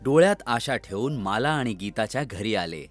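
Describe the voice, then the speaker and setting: Lively male children's story narrator. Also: mid-range voice, normal speed, faint music behind.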